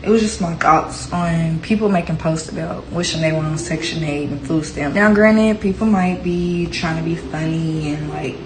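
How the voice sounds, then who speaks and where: A woman's voice: low voice, steady tone, normal speed, hardly any pauses, reverberant, noisy background. A woman who is a single mother talks frankly and matter-of-factly about getting by on government aid. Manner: frank, matter-of-fact complaining. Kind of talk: candid selfie-video rant.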